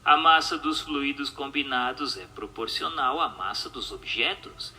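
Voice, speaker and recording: A man's voice: mid-range voice, a little slow, bright, forceful tone. A man doing a dramatized audiobook reading in a formal, declamatory manner. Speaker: narrator. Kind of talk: dramatized audiobook reading of questions, answers and notes.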